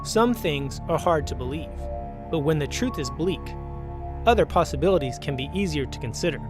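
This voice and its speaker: A man introducing a radio theater story as its host, measured and ominous. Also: a little high, animated, over music. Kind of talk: scripted introduction.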